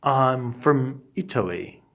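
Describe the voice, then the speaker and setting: Very slow-paced man reading lesson phrases. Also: mid-range voice, creaky, a bit bright. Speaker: English teacher. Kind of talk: English lesson, reading short phrases aloud with repetition.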